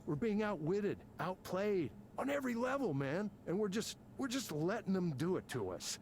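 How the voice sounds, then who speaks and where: A man's voice: high-pitched, very animated, moderate pace, darkish tone, slight background noise. An actor playing an angry, self-important tech programmer in his fifties for a video game, ranting with sarcasm and swearing. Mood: agitated, bitter. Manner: combative, sarcastic, profane ranting. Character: angry, embittered, self-important fifty-something male tech company programmer. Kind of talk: naturalistic video game voice acting.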